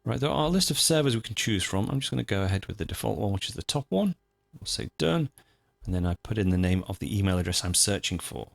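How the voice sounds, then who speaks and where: A man presenting a software tutorial, talking informally and methodically through each step as he goes. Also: on the low side, expressive, fast, gravelly, no echo. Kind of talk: screencast tutorial, unscripted step-by-step narration.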